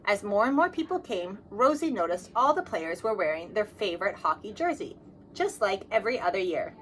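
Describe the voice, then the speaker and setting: Lady reading a kids book out loud, expressive, regular pitch, some noise in the background. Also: a little fast, poor audio. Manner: expressive, acts out dialogue. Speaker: storybook reader. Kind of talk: scripted children's read-aloud narration.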